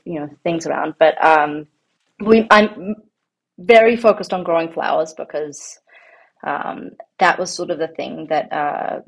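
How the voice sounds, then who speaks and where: Low-voiced Australian woman, rambling. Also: low-quality recording. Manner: relaxed and rambling, many ums and restarts. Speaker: guest, home farmer. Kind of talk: casual interview conversation.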